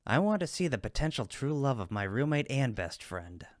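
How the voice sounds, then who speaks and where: A man's voice: mid-range voice, big pitch swings, normal speed, no room sound, studio quality, lightly acted. A man playing a friendly cupid roommate in a comfort roleplay, teasing and supportive, with an emphatic, reassuring manner. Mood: playful teasing. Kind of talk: roleplay audio comfort acting, one-sided conversation.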